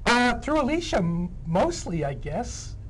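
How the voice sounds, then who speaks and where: A man answering interview questions as a playwright guest, conversational, with halting and hesitant phrasing. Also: very high-pitched, big pitch swings. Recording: faint background room noise.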